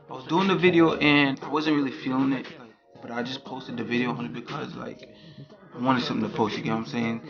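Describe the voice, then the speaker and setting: Slangy young man with a gravelly voice. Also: mid-range voice, highs cut off. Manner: slangy, streetwise, repeats catchphrases. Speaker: vlogger. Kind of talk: casual vlog chat with put-on Jamaican accent.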